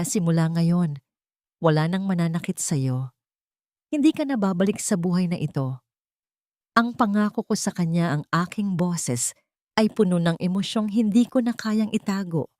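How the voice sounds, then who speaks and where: A Filipino woman narrates a dramatic scripted story. Her voice sits a little low and is recorded very dry, with measured, emotive phrasing. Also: a little mellow, no echo.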